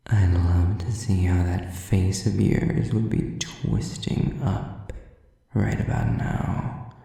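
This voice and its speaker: Man playing a slow, low, creaky sadistic demon. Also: fairly even intonation, mellow, very echoey, distant mic, exaggerated voice. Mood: mock-friendly menace. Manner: slow, drawn-out, mockingly polite, breathy whispers. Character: sadistic male demon, predatory, taunting, silkily menacing. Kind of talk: intimate audio roleplay monologue to the listener.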